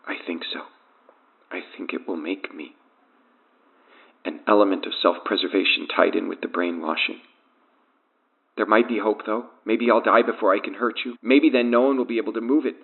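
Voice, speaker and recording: A man's voice: on the low side, natural voice. An actor playing a doomed, guilt-ridden man enslaved by an evil power, confessing fatalistically in an audio horror drama. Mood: quiet, resigned. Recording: tinny, band-limited phone line.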